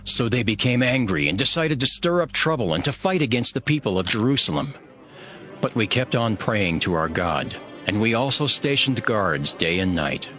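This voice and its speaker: A man's voice: lowish voice, sing-song, normal speed, pushed and bright, faint music behind. A man playing a resolute, devout leader narrating dramatized scripture in an earnest, declamatory way. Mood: measured, resolute. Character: Nehemiah, resolute devout male leader rallying his people.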